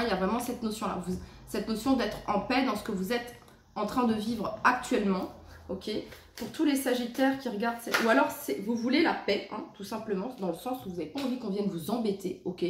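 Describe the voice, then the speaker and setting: A woman with a fairly high voice chats her way through a tarot reading, sprinkling in fillers and slowing for the card texts. She is recorded at home in a roomy space with a faint hum of noise behind her. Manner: chatty, many fillers, reads card texts slowly. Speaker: tarot reader. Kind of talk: casual tarot reading to camera. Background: cleanup noise outside.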